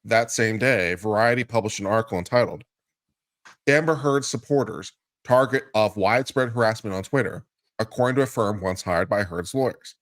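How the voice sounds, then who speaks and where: A man appearing as a guest commentator, giving a deliberate, theatrical reading of a lawsuit. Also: on the low side, no echo, clean studio sound. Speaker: guest commentator on a legal show.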